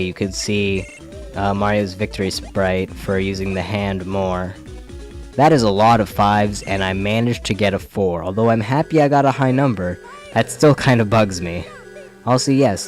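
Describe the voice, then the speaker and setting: A young man with a slight head cold giving casual, rambling let's play commentary, often correcting himself. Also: deep voice. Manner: rambling, self-correcting, wry. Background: faint game music.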